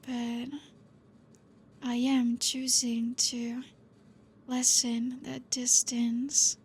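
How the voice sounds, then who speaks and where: Woman doing a possessive vampire teacher, ASMR, soft and breathy, very slow and even, gently teasing. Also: fairly high pitch, slight background noise. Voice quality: soft, breathy, half-whispered. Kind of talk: ASMR roleplay.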